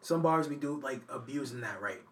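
A young man on a podcast, with dry, low-key remarks. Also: lively intonation, quick pace, echoey room. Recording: distant mic, loud noise.

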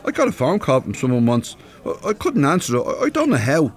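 Animated older Dublin binman, plain-spoken. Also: a little high, a little fast. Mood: sheepish, chatty. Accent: north Dublin. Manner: earnest, folksy, bantering. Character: plain-spoken, good-hearted older retired binman, naive and chatty. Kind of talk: naturalistic radio drama acting.

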